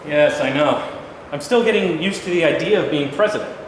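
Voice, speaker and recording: A man's voice: on the high side, brisk, lightly acted. A man in a staged reading playing a humble, uneasy newly sworn American president. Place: large room at a live staged reading. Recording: very echoey hall, distant hiss.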